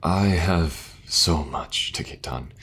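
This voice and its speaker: A man playing a weary, very deep-voiced king. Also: takes it slowly, creaky, fairly bright tone, a little hiss or hum, heavily acted. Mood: earnest. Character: weary, dutiful male king burdened by duty. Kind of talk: audio drama acting.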